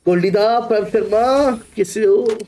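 A man's voice: high-pitched, unhurried, mellow. A man chatting casually with his squad during a team shooter game. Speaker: squad gamer in a team match. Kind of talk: casual gaming chat.

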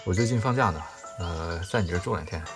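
A man acts out a headstrong young graduate in an audio drama. He talks fast and bluntly in a lowish voice, with music clearly behind him. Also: close to an everyday voice. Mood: casual, stubborn. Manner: blunt, insistent. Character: headstrong, protective young man, a recent student. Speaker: voice actor in a fan audio drama. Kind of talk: Chinese audio drama acting.

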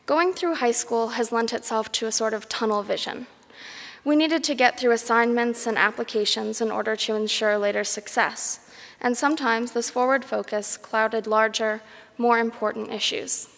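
A young woman, a graduating student, gives a prepared commencement speech in a measured, formal way. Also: fairly high pitch, fairly even intonation, creaky, a bit bright. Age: young adult. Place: large concert hall, speaking at a graduation ceremony. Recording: echoey large hall PA.

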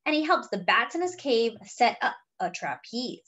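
A woman reading a picture book aloud to young children, with expressive, drawn-out delivery and chatty asides. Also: a little high, dull audio.

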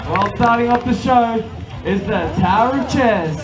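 A man's voice: very high-pitched, measured pace, rough recording. A man announcing a live outdoor show in a rousing showman's manner, working up the audience over a PA. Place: outdoor fairground arena. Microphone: PA microphone, filmed from the audience. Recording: PA with loud music and crowd. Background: music and crowd.

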